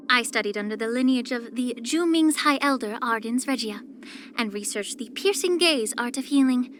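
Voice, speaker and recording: A woman voicing a poised, soft-spoken, subtly sly young female healer in a video game, with measured, gentle, composed delivery. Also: a little high, a little hiss or hum, close to an everyday voice. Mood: composed, courteous. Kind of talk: scripted character voice lines.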